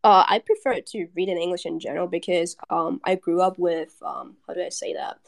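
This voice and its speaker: A woman's voice: fairly low pitch, a little fast, gravelly. A young woman from Germany chatting casually as a podcast guest, giggly and full of fillers. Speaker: artist guest.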